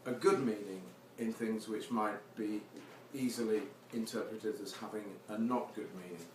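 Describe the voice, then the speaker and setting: A man's voice: fairly low pitch, fairly even intonation, moderate pace, darkish tone. A man giving a live poetry reading with informal introductions, speaking with wry, self-deprecating humour. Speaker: poet reading own poems. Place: live reading venue with seated audience. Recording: echoey, noisy room from far off.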